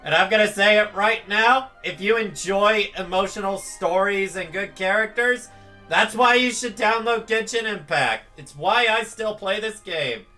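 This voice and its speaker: A man's voice: sits high, measured pace, sharp tone, poor audio. A man hosting a game reaction video, giving casual, emotional commentary. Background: game music.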